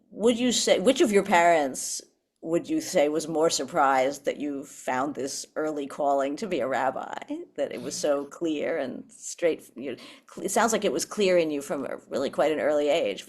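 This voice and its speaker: Rich: A low-voiced woman interviews an author. She poses her questions in a measured way, pausing and restarting as she goes. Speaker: interviewer and books editor. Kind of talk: conversational interview.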